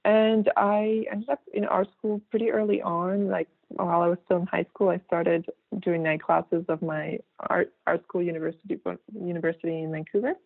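A woman in her thirties, born in Kazakhstan with Russian as her first language, talks loosely as a guest, full of fillers and restarts. Her voice has a gravelly fry to it, and it comes through a thin, phone-like line. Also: lowish voice, level tone, moderate pace, dry recording. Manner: rambling, frequent fillers and restarts, laughs easily. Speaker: guest artist. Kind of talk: casual interview talk.

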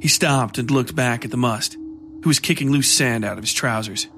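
A man's voice: mid-range voice, moderate pace, background noise. A man reading scripted horror fiction as narrator, with music playing under his voice.

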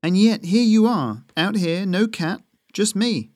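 A man's voice: high-pitched, lively intonation, moderate pace, no room sound, clean studio sound, voice pushed up. A man voicing a wry, teasing woman hunter with a blunt, mocking manner.

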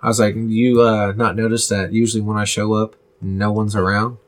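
A young man talks in a low voice as a podcast guest. He teases and brags with a deadpan delivery. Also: moderate pace. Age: 20s. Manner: deadpan teasing, bragging. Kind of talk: casual joking chat.

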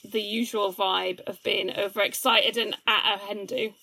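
A woman's voice: mid-range voice, fairly even intonation, normal speed, vocal fry, bright, forceful tone, slightly rough, poor audio. A woman co-hosting a podcast, talking in a casual, chatty style. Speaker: podcast co-host. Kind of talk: casual chat.

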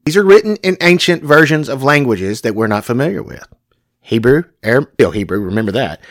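A man's voice: medium pitch, big pitch swings, brisk, no echo, clean studio sound. A man in his forties, co-hosting a casual talk about Bible interpretation, with a youngish, slightly whiny, country-sounding voice. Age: 40s. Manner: self-described young whiny voice with a country twang. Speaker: podcast co-host.

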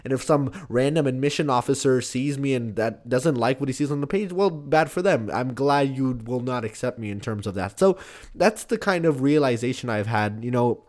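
A man's voice: medium pitch, moderate pace, darkish tone. A young man, a student applying to college, talking casually and reflectively with lots of "like" and "you know". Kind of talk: casual conversation.

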